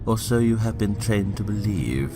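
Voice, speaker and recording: A man in a fan audio drama playing an elderly, cunning politician, speaking in a measured, theatrical, insinuating way with a gravelly voice. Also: on the low side, lively intonation. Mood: persuasive, insinuating. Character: elderly, cunning politician, smooth, avuncular and manipulative. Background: music.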